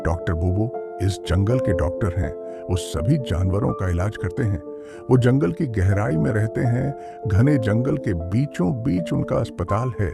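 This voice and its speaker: Man acting a gravelly, pleading wounded tiger. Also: fairly low pitch, lively intonation, a little slow. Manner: pleading, dramatic. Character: badly wounded male tiger pleading for help. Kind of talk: dramatic cartoon story voice acting. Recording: loud music bed. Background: loud music.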